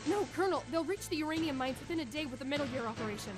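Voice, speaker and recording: A woman's voice: high-pitched, lively intonation, racing, over music. An actress playing a tough, defiant young female soldier in a radio drama, plucky and wry. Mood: urgent.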